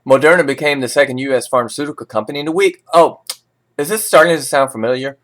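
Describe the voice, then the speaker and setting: A man's voice: mid-range voice, quick pace, fairly bright tone. A man reading financial news as an informal host, breaking into sarcastic, opinionated and folksy asides.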